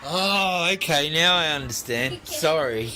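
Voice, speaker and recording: Animated, teasing dad on noisy home video. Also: male voice, fairly high pitch, unhurried. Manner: playful, mock-incredulous teasing. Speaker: father playing along with child's puppet show. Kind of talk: casual home-video banter. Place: indoors at home.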